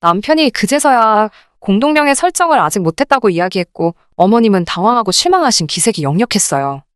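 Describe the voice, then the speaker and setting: Woman narrator, clear smooth voice, very animated pitch, reading a dramatic personal story, indignant delivery. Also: quick pace, no echo, studio quality. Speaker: narrator of dramatized listener stories. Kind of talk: scripted first-person story narration, radio drama style.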